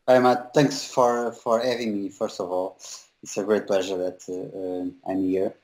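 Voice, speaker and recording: A young man from Portugal with a Portuguese accent, a visual effects compositor being interviewed over a video call, talking haltingly with many pauses and restarts. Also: deep voice, steady tone, a little mellow, low-quality recording. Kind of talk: casual interview talk.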